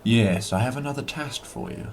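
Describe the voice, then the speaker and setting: A man playing a smooth, scheming politician in a radio drama, speaking in a pompous, florid and formal way. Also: medium pitch, animated, moderate pace, a little hiss or hum. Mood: scheming, imperious. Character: smooth, scheming male politician villain, falsely cordial.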